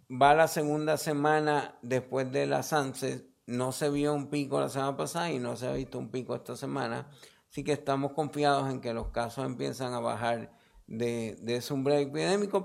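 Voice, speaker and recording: Male health official, Puerto Rican accent, creaky mid-range voice, explanatory press-briefing answers with halting restarts. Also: mid-range voice, fairly even intonation. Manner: halting, repeats words mid-sentence.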